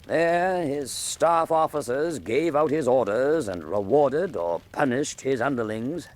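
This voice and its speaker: An actor playing the narrator of a Sherlock Holmes style detective mystery, measured and theatrical in his storytelling. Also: mid-range voice, expressive, close to an everyday voice. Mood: grave, dramatic. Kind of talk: dramatic scripted narration.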